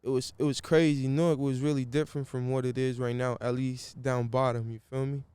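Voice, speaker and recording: Guy chatting easy, smooth clean voice, slangy, lots of "you feel me". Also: mid-range voice, level tone, fairly quick, darkish tone, no echo. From Brazil. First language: Portuguese. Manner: laid-back slangy talk, keeps saying "you feel me". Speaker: guest musician. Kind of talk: casual interview chat.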